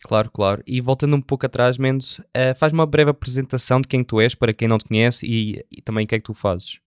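A man's voice: mid-range voice, fairly even intonation, fast talker, clean tone, no room sound, clean studio sound. A man with a European Portuguese accent, asking questions as an interviewer in a casual, conversational way.

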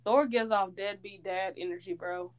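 A woman's voice: medium pitch, fairly even intonation, moderate pace, muffled recording. Teasing young woman podcast co-host. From Tennessee. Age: 20s. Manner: teasing, playful, giggly. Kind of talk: casual chat.

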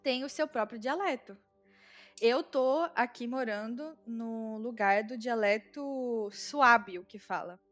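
A woman's voice: on the high side, slow, a bit bright, soft background music. A Brazilian woman with a Brazilian Portuguese accent, chatting casually and explaining things with lots of fillers. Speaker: guest, Brazilian living in Germany. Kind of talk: casual conversation.

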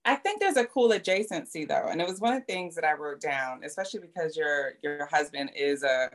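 Woman co-host, slightly low voice, reflective and thoughtful, builds long points. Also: lively intonation, brisk. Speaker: podcast co-host. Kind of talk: interview conversation.

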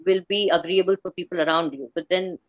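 A woman chats informally as an interview guest. Her voice sits on the low side, and the audio is a little muffled and lo-fi, though free of room echo. She restates points to stress them. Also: fast talker. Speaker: podcast guest. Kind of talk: casual chat. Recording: slightly dull, lo-fi audio.

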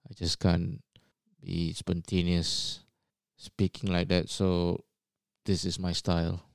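A man from Singapore hosting a casual solo podcast, who describes his own voice as low and monotonous and talks with many fillers. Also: slow, vocal fry, dry recording, clean studio sound. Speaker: podcast host.

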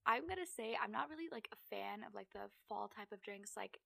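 A woman's voice: medium pitch, expressive, fairly quick, creaky, pushed and bright, no room sound. A young woman co-hosting a casual chat, talking dramatically with lots of "like". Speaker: podcast co-host.

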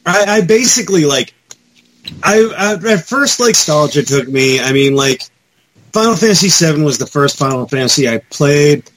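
A man's voice: on the high side, animated, moderate pace, fairly bright tone, a little hiss or hum, low-quality recording. A man talking casually and with strong opinions as a podcast host in a pop-culture discussion.

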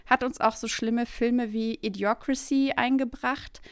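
A woman's voice: a little high, steady tone, a little slow, smooth, dry recording. Chatty woman, non-native German speaker. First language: not German. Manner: chatty, laughing, stumbles over German words. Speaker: podcast co-host. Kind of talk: casual podcast chat.

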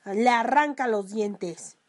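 A woman's voice: on the high side, slow. A young woman with a Mexican accent, ranting casually and crudely on her own podcast and complaining that her throat is sore from talking. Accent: Mexican Spanish. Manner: crude, lively, swearing. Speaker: podcast host.

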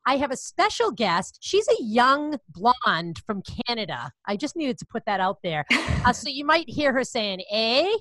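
Boston lady in her 50s hosting a podcast, bright higher voice, gushing and excited, dry close sound. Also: moderate pace, no room sound. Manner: bubbly, gushing, enthusiastic. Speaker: podcast host. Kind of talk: casual interview chat.

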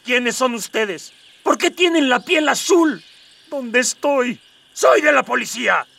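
A man's voice: very high voice, animated, slow, gravelly, bright, forceful tone, full character voice. A man playing a bewildered, indignant police officer with a Mexican accent, flustered and colloquial, in a comedic radio drama. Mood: panicked. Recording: distant miking, music underneath.

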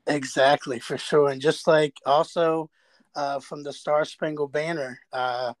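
Male interviewer, somewhat high voice, little pitch movement, casual and agreeable, dry sound. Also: fairly even intonation, unhurried, no room sound. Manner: agreeable, loosely phrased questions.

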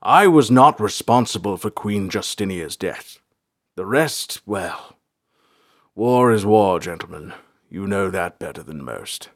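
A man's voice: deep voice, lively intonation, a little slow, dry recording, studio quality, exaggerated voice. A man voicing a proud warrior king in a storybook, speaking in a formal, commanding manner. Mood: grave.

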